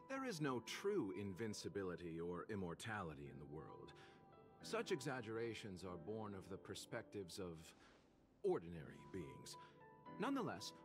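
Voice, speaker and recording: An enigmatic, courteous merchant from a video game. His low voice swings widely in pitch as he speaks in a refined, gently amused way. Clear music plays behind him. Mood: philosophical, amused. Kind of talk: video game character dialogue. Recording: prominent background music under the voice.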